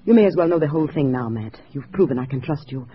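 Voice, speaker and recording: A secretive female courier in an old radio spy drama. She is tense and urgent, with very lively pitch, on a dull vintage recording. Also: fast. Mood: confiding, firm. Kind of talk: 1950s American radio drama acting.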